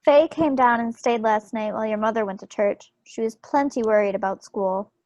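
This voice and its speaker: A woman's voice: a little high, normal speed, a little mellow, no echo, natural voice. A woman playing an affectionate, chatty young wartime wife in a table read of a stage play over a video call. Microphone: computer mic on a video call. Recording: dull, dry video call recording.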